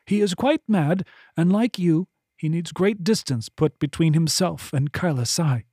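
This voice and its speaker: A man's voice: fairly high pitch, unhurried, dark tone, husky, no echo, clean studio sound, voice pushed up. A man voicing an authoritative noble figure who speaks formally and with measure.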